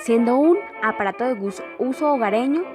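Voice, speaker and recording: High-voiced woman narrator over loud music. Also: a little fast. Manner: formal, reading from a script. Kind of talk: scripted documentary narration.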